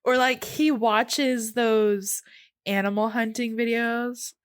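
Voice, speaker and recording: Female co-host, slow casual delivery, dry recording, joking and teasing. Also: on the high side, steady tone, clear, resonant tone, dry recording. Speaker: podcast co-host.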